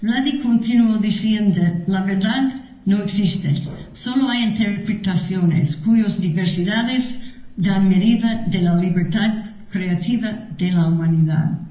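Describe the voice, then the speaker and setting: A woman at a conference slowly reading a prepared tribute aloud, with a Mexican Spanish accent and the odd slip. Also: medium pitch, mellow. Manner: slow, measured reading with some slips. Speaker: conference speaker. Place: lecture hall. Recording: echoey hall.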